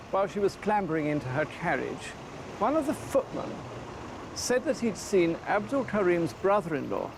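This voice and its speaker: A man presents a documentary on location, recounting and asking in a high-pitched voice. There is faint traffic-like ambience around him. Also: vocal fry. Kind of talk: storytelling and interviewing on location.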